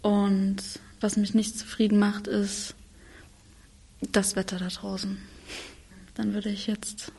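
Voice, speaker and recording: A nineteen-year-old woman in a casual group chat, speaking softly and half-whispered with hesitations. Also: medium pitch, monotone, takes it slowly, vocal fry, pauses often, breathy edge. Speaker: podcast participant. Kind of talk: casual round-table chat.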